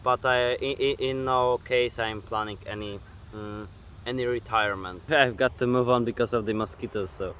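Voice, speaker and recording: A man's voice: mid-range voice, fairly even intonation, normal speed, fairly bright tone, a little hiss or hum. An eighteen-year-old man with a Polish accent, answering viewer questions outdoors in a casual vlog, hesitant with many fillers and restarts. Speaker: gaming YouTuber. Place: outdoors at dusk. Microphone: camera facing him.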